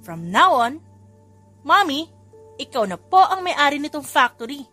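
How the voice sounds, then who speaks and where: A woman voicing an excitable, cheeky little child who is sweetly polite, in an audio romance story. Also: high voice, a little slow, faint music behind, pitched above the performer's own voice, heavily acted. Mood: proud.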